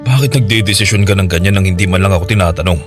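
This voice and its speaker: Man acting as a fast-talking stern father. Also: sits low, soft background music, natural voice. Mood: firm, distrustful. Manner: firm, scolding, blunt. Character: stern, distrustful father guarding his child. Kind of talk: Tagalog radio drama acting.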